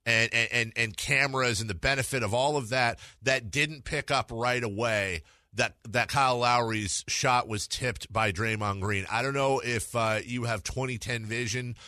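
A man's voice: mid-range voice, normal speed, bright, forceful tone, no echo, clean studio sound. A man hosting a sports radio interview in a conversational way, wordy and circling back as he phrases his questions.

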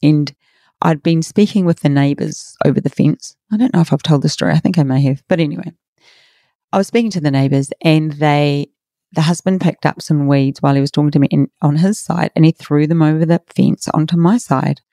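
A middle-aged woman hosts a motivational podcast, her voice raspy and hoarse. She talks in an earnest, encouraging way, her tone mellow and warm. The recording is dry and close, with no room sound. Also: deep voice, a little fast, dry recording. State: hoarse from a workshop. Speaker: podcast host and coach.

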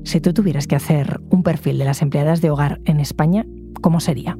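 Low-voiced woman hosting a news podcast. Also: fairly even intonation, brisk, mellow, soft background music. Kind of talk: scripted news narration.

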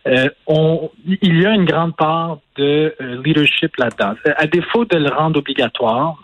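Quebec French man, husky, fairly high, dry close sound; careful, hedging interview guest. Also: dry recording, poor audio. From Portugal. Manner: measured, hedging, many filler restarts. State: recovering from illness. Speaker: interview guest, former political adviser. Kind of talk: phone-in news interview.

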